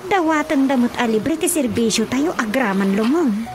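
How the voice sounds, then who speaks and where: High-voiced, outspoken Ilocano young wife played by a woman. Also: expressive, normal speed, close to an everyday voice. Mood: earnest concern. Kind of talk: radio drama comedy acting. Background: music.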